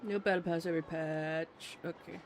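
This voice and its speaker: High-voiced man streaming a game. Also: steady tone, moderate pace, a little hiss or hum. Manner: rambling, muttering asides, distractible. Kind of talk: casual live gameplay chat with viewers. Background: faint game music.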